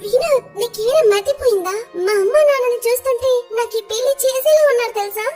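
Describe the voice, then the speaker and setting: A woman's voice: very high-pitched, quick pace. A woman playing an anxious, pleading young woman in a cartoon story. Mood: upset. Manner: fretful, pleading. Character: anxious, pleading young woman in love, facing arranged marriage. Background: music.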